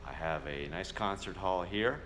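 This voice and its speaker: A man's voice: sits low, a little slow, fairly bright tone. A man talking informally in a casual video diary, thinking aloud as he goes. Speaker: travelling entertainer and radio show host. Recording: noisy handheld recording with vehicle ambience.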